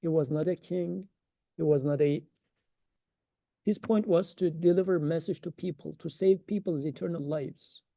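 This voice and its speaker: A man's voice: a little high, normal speed, vocal fry, dark tone, no echo. A man giving an informal religious lecture, earnest and emphatic, arguing his points and posing rhetorical questions. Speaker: religious teacher giving a talk.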